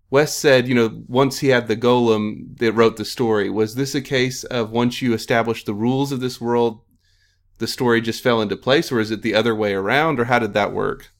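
A man moderating a casual panel interview, asking meandering, tentative questions and correcting himself as he goes. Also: mid-range voice.

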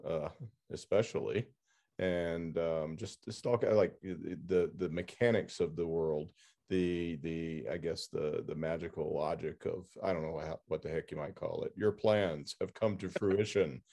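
Male podcast interviewer, creaky and mellow, informal, with halting, meandering delivery. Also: deep voice, steady tone. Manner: halting, rambling phrasing. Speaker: podcast host interviewing an author. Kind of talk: casual interview.

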